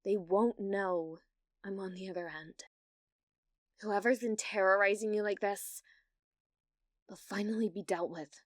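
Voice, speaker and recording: A British woman playing a needy, awkward young gamer in an ASMR roleplay, with a halting, nervous manner. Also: mid-range voice, steady tone, no echo, studio quality, lightly acted. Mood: wary, determined. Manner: halting, flustered, breathy laughs and hesitations.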